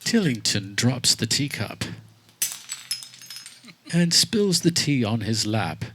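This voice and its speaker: Low-pitched man narrating radio comedy. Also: sing-song, slow pace, noisy background. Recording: music behind, live backyard show.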